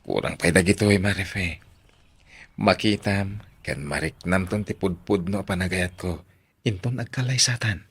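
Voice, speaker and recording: A man's voice: low-pitched, unhurried, a bit bright, husky, heavily acted. A man playing a romantic, reassuring young boyfriend in a drama. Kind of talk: Ilocano radio-drama style acting.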